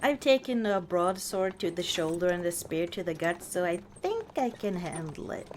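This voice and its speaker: A woman voicing a proud but softening battle-scarred orc warrior wife in an intimate ASMR roleplay, speaking tenderly and haltingly. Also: on the low side, big pitch swings, a little hiss or hum. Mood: hesitant, vulnerable. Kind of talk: ASMR roleplay, intimate one-sided dialogue. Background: outdoor ambience, horse hooves.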